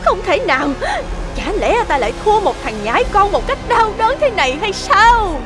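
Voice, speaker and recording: A woman's voice: very high voice, very animated, normal speed. A woman voicing a proud villainous centipede in a children's cartoon, exclaiming melodramatically. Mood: anguished, shocked. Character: villainous centipede, proud, wailing over its broken legs. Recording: music behind the voice.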